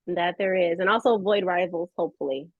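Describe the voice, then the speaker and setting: A woman's voice: on the low side, fairly quick, no room sound. American woman chatting, close and slightly dull recording. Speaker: voice actress, interview guest. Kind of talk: casual chat.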